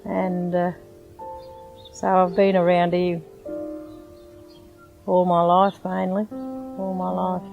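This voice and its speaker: An older Australian woman with a fairly low voice reminisces in a chatty, easy way. She drops in "you know" as she goes. Light music plays faintly behind her. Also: monotone, slow pace, gravelly, long pauses, phone-quality sound. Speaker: interviewee, local resident. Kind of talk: casual reminiscing.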